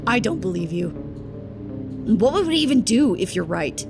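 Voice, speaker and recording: A woman's voice: mid-range voice, big pitch swings, normal speed, creaky, music in the background. A woman playing a hopeful, trusting but stubborn agent in an audio drama, with a blunt, emotive delivery. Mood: indignant. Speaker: voice actor. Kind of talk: naturalistic audio drama acting.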